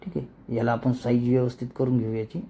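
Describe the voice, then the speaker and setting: Husky man with rural Marathi accent. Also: mid-range voice, level tone, brisk, warm tone. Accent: rural Marathi of Maharashtra. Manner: informal, colloquial, repeats words while demonstrating. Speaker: animation tutorial creator. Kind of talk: casual step-by-step screen tutorial.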